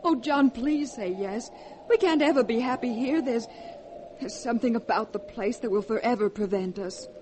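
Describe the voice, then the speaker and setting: An actress playing an anxious, loving young wife in a 1940s radio drama, with a breathless, emotional delivery. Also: female voice, fairly high pitch, moderate pace, natural voice. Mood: pleading. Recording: old radio broadcast with faint hiss.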